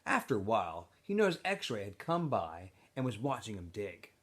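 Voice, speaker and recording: A man, a teacher reading a novel aloud to students in an expressive, informal storytelling style. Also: fairly low pitch, moderate pace.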